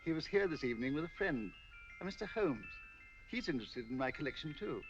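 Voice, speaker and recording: Carefree English gentleman collector, higher-pitched, flirtatious, genteel. Vintage film acting, dull soundtrack. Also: big pitch swings, quick pace, over music. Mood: jovial, charming. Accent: British English. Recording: muffled old film soundtrack.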